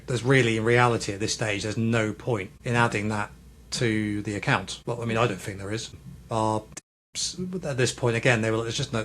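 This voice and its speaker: A man's voice: lowish voice, a bit bright, a little hiss or hum. A British man in his thirties co-hosting a casual podcast, rambling and hesitant as he works through his thoughts. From Britain. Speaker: podcast co-host.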